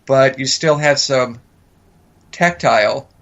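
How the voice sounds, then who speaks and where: A man's voice: medium pitch, slow pace, a bit bright. A man co-hosting a podcast in casual conversation, heard on a dull, lo-fi track. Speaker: podcast co-host.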